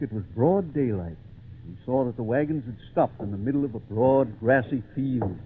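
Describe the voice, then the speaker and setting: An actor playing a fatherly storyteller narrating a tale to a boy in an old radio drama. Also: on the high side. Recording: noisy, tinny old radio broadcast.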